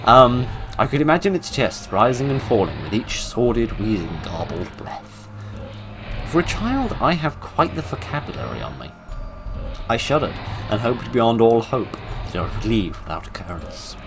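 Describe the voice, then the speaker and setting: A man's voice: medium pitch, very animated, moderate pace, over music. A British man giving casual commentary on a game and reading its story aloud, with dry, tongue-in-cheek humour. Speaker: gamer narrating a horror game playthrough.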